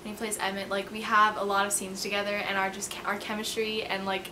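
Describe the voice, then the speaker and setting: A woman's voice: medium pitch, completely flat delivery, a little fast. A woman in her late teens speaking casually in an interview as a student actor. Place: indoor school stage during rehearsal. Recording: noisy room, busy ambience.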